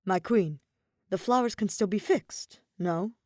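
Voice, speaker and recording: A woman voicing a calm, tactful male palace general in a fantasy story. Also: medium pitch, measured pace, dry recording, studio quality, natural voice.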